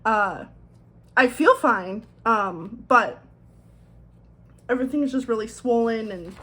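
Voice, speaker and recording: A woman's voice: fairly high pitch, expressive, takes it slowly, a bit bright. A woman chatting casually as she decorates a planner, her voice a little thick from swollen tonsils, rambling in self-deprecating asides. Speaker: planner vlogger.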